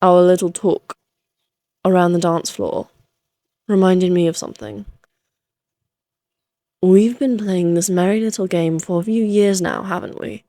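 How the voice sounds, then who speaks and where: A woman's voice: fairly low pitch, measured pace, pauses often, mellow, dry recording. A woman playing a playful, flirtatious immortal vampire in a Victorian fantasy audio roleplay, teasing in genteel, archaic phrasing.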